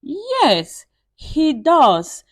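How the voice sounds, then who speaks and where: A woman voicing a gentle, troubled mother in a story, soft and reassuring with her children. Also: sits high, wildly swooping pitch, very slow, no echo, studio quality, pitched above the performer's own voice, exaggerated voice.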